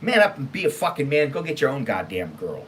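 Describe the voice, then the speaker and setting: A man's voice: mid-range voice, wildly swooping pitch, brisk, a bit bright. An American man hosting a casual storytime show, blunt, sweary and exasperated as he reads out and comments on emails. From United States. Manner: emphatic, exasperated. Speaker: online storytime show host.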